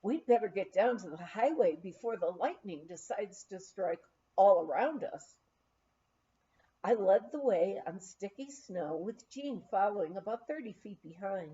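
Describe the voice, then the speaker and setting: A woman reading a memoir aloud as scripted narration, in a measured, deliberate, expressive storytelling manner. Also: medium pitch. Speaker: audiobook reader. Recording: slightly dull, lo-fi recording.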